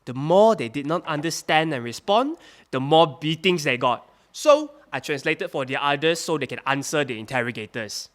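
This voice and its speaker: A man playing a defensive wartime interpreter on the witness stand, speaking halting, slightly broken English as a non-native speaker. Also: a little high, lively intonation, fairly bright tone. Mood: explaining. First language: not English. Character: evasive wartime interpreter for military police, male, defensive witness. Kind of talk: audio drama acting.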